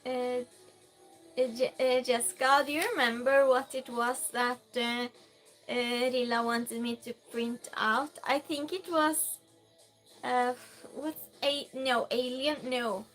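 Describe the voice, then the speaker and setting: Slow, high-voiced woman with a Norwegian accent. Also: little pitch movement, a bit bright, soft background music. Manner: friendly, chatty, many fillers and restarts. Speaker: live streamer. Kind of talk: casual live-stream chat, reading viewer messages aloud.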